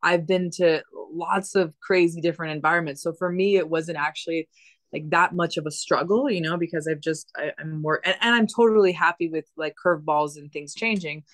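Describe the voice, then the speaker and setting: A woman's voice: deep voice, a little fast, no room sound. A woman in her thirties tells her story in a loose, chatty way, stopping and restarting her phrases. She was raised in the Dominican Republic and speaks from her living room over a laptop webcam. The sound is dry and close. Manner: chatty, lots of "like" and restarts, animated hand gestures. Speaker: podcast guest. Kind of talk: casual storytelling conversation. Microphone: laptop webcam on video call.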